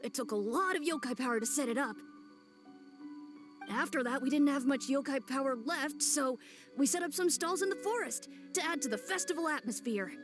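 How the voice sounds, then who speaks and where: A woman's voice: high-pitched, animated, moderate pace, over music. A woman voicing a small, childlike yokai creature in a video game, earnest and plucky. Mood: earnest, eager.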